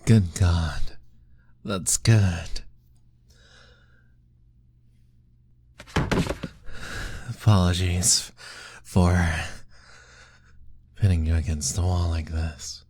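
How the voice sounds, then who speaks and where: A man doing a deep, courtly vampire lord. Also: steady tone, slow, pauses often, slightly rough, exaggerated voice. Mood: breathless, doting. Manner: formal, old-fashioned, gently pleading; soft, intimate, often whispered. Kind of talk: ASMR roleplay audio.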